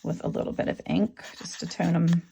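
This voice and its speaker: A middle-aged woman with a sore throat whose voice keeps cutting in and out, talking through a craft tutorial in a relaxed, halting way with lots of ums. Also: lowish voice, gravelly, slight background noise. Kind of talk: casual craft tutorial narration.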